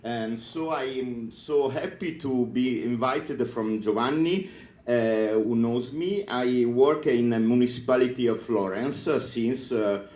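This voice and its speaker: Italian-accented librarian, middle-aged man, unhurried and halting at the lectern, roomy conference room sound. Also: mid-range voice, darkish tone. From northern Italy. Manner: hesitant, halting, many filler sounds. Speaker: librarian giving a conference talk. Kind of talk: conference speech.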